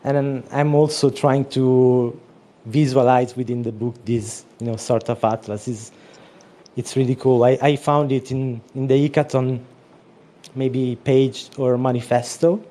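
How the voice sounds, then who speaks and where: A man talks through his project in a relaxed online chat. His voice is mellow and dark, and his pitch stays even and flat as he explains at length. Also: a little slow. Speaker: project organizer. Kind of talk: casual chat. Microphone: remote call audio.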